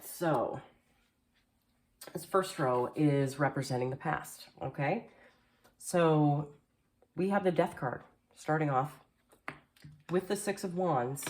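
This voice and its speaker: Chatty woman with a low, mellow voice. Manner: chatty, filler-heavy, frequent "like" and "um". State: slightly nasal and hoarse from allergies. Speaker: tarot reader. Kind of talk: casual tarot reading voice-over. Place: home, voice-over behind the camera.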